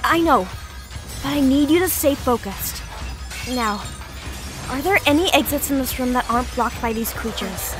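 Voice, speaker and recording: A woman's voice: sits high, lively intonation, normal speed, pauses often, over music. A woman playing a blunt, level-headed young pony princess in an audio drama. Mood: steady, reassuring.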